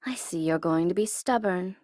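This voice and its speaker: A woman's voice: lowish voice, a little mellow, no echo, studio quality, lightly acted. A woman playing a taunting, coy and commanding supervillain who is secretly smitten, in an ASMR audio roleplay. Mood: sly, persuasive. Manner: taunting, coy, commanding, with drawn-out deliberate phrasing. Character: teasing, menacing tsundere female supervillain, secretly smitten.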